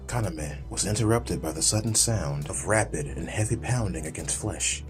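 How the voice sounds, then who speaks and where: A man's voice: low voice, expressive, normal speed. A man reading scripted dramatic story narration as an audiobook narrator. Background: music.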